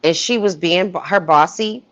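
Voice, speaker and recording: Woman, low pitch, emphatic, opinionated, casual gossip commentary, muffled lo-fi audio. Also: moderate pace, a bit bright. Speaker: co-host of a livestream. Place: livestream call.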